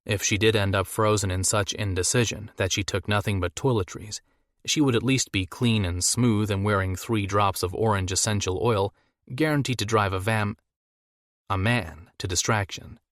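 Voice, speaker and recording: A man's voice: fairly low pitch, steady tone, normal speed, a bit bright, no echo, studio quality. A man reading romance fiction as a scripted audiobook narration.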